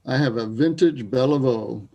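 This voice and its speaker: A man playing a wry, troubled, grieving Canadian sports fan in a readers theater piece, with a gravelly voice. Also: medium pitch, normal speed, mellow, dull audio, lightly acted. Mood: wry, teasing.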